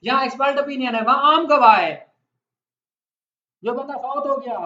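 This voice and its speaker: A Pakistani man teaches like a law lecturer, talking directly to his listeners and putting questions to them. His voice is strikingly high-pitched and forceful. The sound is dull and lo-fi, as if captured in a roomy space. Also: moderate pace. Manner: conversational, puts questions to listeners. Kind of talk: explanatory lecture with everyday examples. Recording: echoey room, dull lo-fi sound.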